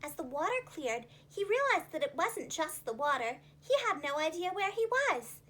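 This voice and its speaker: High, animated woman reading children's stories. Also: normal speed. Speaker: preschool teacher, storyteller.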